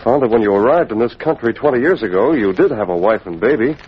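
Guy acting earnest upright gentleman, formal but swoopy intonation, old radio drama, muffled with some hiss. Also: medium pitch, animated, quick pace, natural voice. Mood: serious, cautious. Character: earnest, principled gentleman of means, cautious and law-abiding. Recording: dull, noisy old radio recording.

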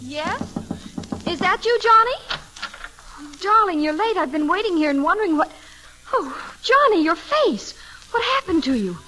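A devoted, anxious young fiancée in a vintage radio play. Her voice is very high and swoops with emotion as she pleads. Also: sing-song, moderate pace, long pauses, sharp tone, a little hiss or hum, exaggerated voice. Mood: concerned. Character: devoted anxious young sweetheart, engaged woman. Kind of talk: old-time radio drama acting.

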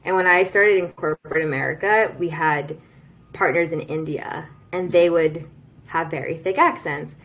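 A woman's voice: deep voice, moderate pace, fairly bright tone, low-quality recording. A woman hosting a podcast, chatting frankly and telling personal stories. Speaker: podcast host. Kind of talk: casual conversation.

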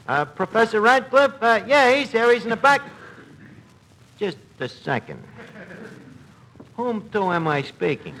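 A man's voice: very high-pitched, bright, forceful tone, full character voice. An actor playing a gullible, blustering New tavern manager in 1940s radio comedy, wisecracking and hesitant while mangling his grammar. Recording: noisy old radio broadcast recording.

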